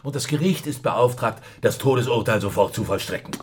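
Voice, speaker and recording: Man playing a worried secret policeman, mid-pitched, grave and clipped, delivering grim news in radio noir. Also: animated, fairly quick, a little hiss or hum, close to an everyday voice. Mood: grim, subdued. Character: alarmed, grim secret police colleague bringing bad news. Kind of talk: radio crime drama acting.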